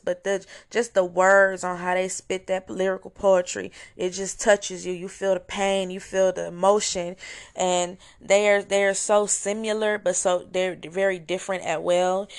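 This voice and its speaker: A young woman with a hoarse voice hosts her own review podcast, talking casually and enthusiastically with fillers and restarts. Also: fairly low pitch, flat delivery, moderate pace. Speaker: podcast host. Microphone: home recording, voice message style.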